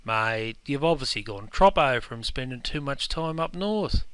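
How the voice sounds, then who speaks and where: A man playing a gruff Aussie publican, bright and clean. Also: medium pitch, animated, unhurried, dry recording. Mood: scolding. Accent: Australian. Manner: broad ocker slang, scolding banter. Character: gruff, pedantic Aussie publican, quick to correct. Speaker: publican character. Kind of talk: scripted comedy dialogue.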